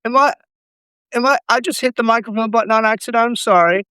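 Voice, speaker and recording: A male caller puts on a British accent in a high, smooth voice. He stammers and corrects himself quickly. The sound is dry. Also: bright, forceful tone, no echo. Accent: put-on British.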